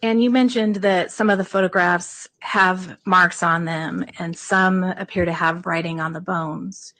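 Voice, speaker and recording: A woman prosecutor questioning a witness in a courtroom, soft-spoken and polite. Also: lowish voice, fairly even intonation, measured pace.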